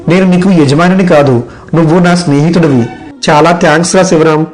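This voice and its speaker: An earnest young village man, acted by a man in an animated moral story. His voice sits a little high and he speaks as a loyal friend. Light music plays behind him. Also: lightly acted.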